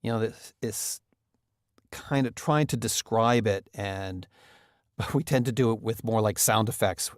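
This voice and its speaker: A man's voice: lowish voice, a little slow, no room sound, clean studio sound. A man chatting casually in an interview, effusive and feeling his way through his thoughts. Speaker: audio drama director being interviewed.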